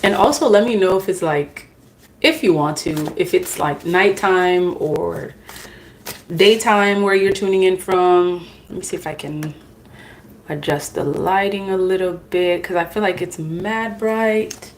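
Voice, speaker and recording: A woman who speaks English as a second language, hosting a tarot live stream from home. She talks in an unhurried, easygoing way, chatting with viewers and laughing at her own slips. A faint hiss sits under her voice. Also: on the low side. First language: not English. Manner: chatty, laughs at herself, greets viewers by name. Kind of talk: casual live-stream chat.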